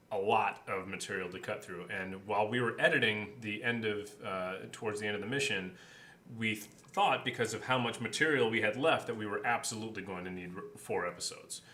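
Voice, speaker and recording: Bright-voiced low-pitched man, echoey room. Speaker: podcast co-host and editor. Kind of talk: casual behind-the-scenes chat. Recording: roomy echo, clear background noise.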